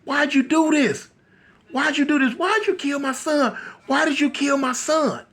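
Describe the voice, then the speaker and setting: A man's voice: very high voice, unhurried, a bit bright, pitched above the performer's own voice, heavily acted. A man voicing a distraught mother crying out in grief over her dying son, as part of a street story. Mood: grief-stricken pleading.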